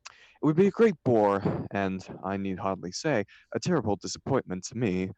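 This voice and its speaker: Man acting a witty young dandy, lowish pitch, dry sound, flippant, theatrical, stage comedy. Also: sing-song, moderate pace, darkish tone, no room sound, highs cut off. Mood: feigned regret. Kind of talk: table read of a stage comedy.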